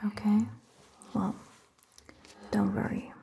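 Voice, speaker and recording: A woman whispers gently and with long pauses in an ASMR roleplay, playing a soothing vampire doctor close to the microphone. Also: mid-range voice, monotone, vocal fry, breathy edge. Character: attentive, soothing female vampire doctor, centuries-old clan member.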